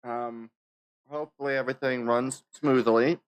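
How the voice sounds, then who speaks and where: An American man chatting casually on a gaming livestream, subdued and halting, a little under the weather with a sore throat. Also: medium pitch, fairly even intonation, clear, resonant tone, dry recording. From United States. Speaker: livestreamer, VTuber. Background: music.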